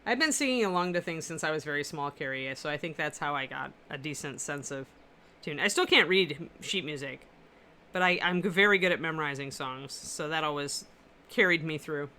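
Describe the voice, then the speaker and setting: Bright-voiced woman chatting as she paints. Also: low-pitched, lively intonation, fairly quick. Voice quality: powerful, carrying voice. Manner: chatty, answers viewer comments as she works. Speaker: miniature painting instructor and livestream host. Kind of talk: casual livestream chat while painting. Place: her apartment.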